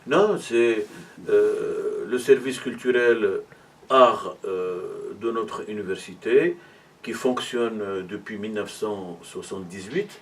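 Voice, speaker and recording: A middle-aged man from Morocco who works as a university arts and culture officer, answering interview questions in a measured, explanatory way, with many hesitations. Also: on the low side, far from the mic.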